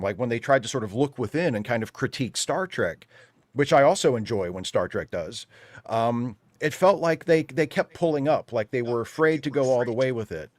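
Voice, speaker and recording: A man's voice: medium pitch, dry recording. Guy w medium voice doing casual opinionated panel chat, emphatic n a bit exasperated, very dry audio. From United States. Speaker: panelist on a fan talk show.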